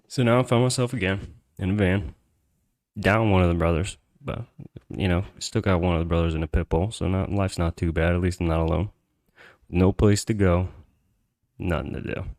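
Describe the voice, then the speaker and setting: Deep, gravelly-voiced man telling a story. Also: a little mellow. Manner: slangy, swearing, conversational. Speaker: podcast host telling a personal story. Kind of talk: casual first-person storytelling.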